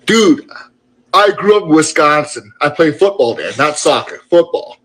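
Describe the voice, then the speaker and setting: A man's voice: on the high side, animated, moderate pace, fairly bright tone, roomy sound, poor audio. A man speaking emphatically and boastfully in short clipped phrases, as in a comedy bit.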